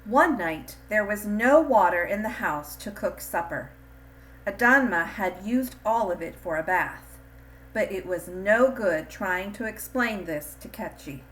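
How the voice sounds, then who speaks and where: A woman reading a scripted children's storybook in an expressive, deliberate storytelling manner. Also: mid-range voice, slight background noise, poor audio. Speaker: storybook reader.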